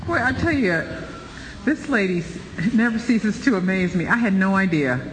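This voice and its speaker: A man leading a church Bible study, preaching with animated, exclaiming energy. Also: high voice, normal speed. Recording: roomy reverb, light background noise.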